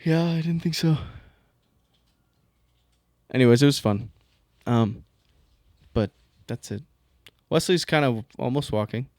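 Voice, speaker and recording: A young man chats loosely with his co-host, at an ordinary middle pitch. He ribs his friend and rambles through his week. The sound is close and dry, with no room in it. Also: measured pace, pauses often, darkish tone, no echo. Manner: joking, trash-talking, laid-back. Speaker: podcast co-host. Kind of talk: casual banter.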